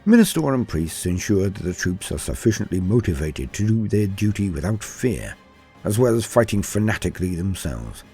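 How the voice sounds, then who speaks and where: A man's voice: deep voice, very animated, moderate pace, mellow, faint music behind. A man delivering dramatic scripted narration in a theatrical, grandiose manner as a lore host.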